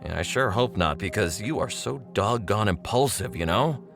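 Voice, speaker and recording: An old pal in a radio-style drama, speaking straight to the listener in a lowish, very lively voice. He is chummy and rambling, with a needling familiarity that carries veiled menace. Music plays faintly behind him. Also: normal speed, gravelly. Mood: chummy, insinuating. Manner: conversational, rambling, chuckling, pointedly familiar. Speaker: actor in an audio drama. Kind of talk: audio drama monologue spoken directly to the listener as an old friend.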